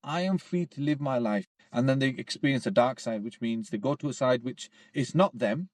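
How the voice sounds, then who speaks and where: A man hosting a casual podcast discussion, whose first language is Urdu, talking quickly and acting out other people's voices as he makes his points. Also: medium pitch, no room sound. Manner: quick, animated, acts out quoted voices. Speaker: podcast host.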